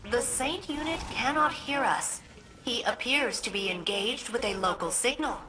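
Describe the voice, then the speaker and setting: An actress playing a chirpy, self-satisfied ship computer in a video game, with precise, formal phrasing. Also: female voice, on the high side, normal speed, close to an everyday voice. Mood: brisk, pleased. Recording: noisy game ambience behind the voice.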